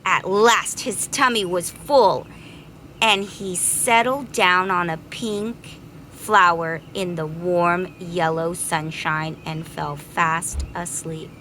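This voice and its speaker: A woman's voice: on the low side, steady tone, slow, sharp tone, slight background noise, poor audio. A woman reading a children's story aloud expressively, drawing out words dramatically for young listeners.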